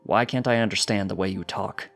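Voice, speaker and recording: A blunt fantasy village father acted by a male reader from a storybook. He talks dismissively in a fairly low voice, with faint music behind. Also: fairly even intonation, a little fast, a bit bright. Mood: puzzled. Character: gruff, blunt village husband, goat or minotaur father.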